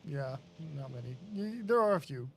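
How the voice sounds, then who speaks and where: A man from Missouri co-hosting a game stream, making relaxed casual remarks while playing. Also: medium pitch, moderate pace, slight background noise. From Missouri, USA. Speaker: stream co-host. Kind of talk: casual chat while gaming.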